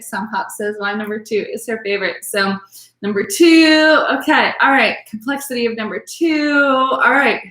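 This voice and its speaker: A woman's voice: a little high, a bit bright, roomy sound. A woman teaching an online wine class in a chatty way, full of ums, calling on attendees by name. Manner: chatty, filler-heavy, addresses attendees by name.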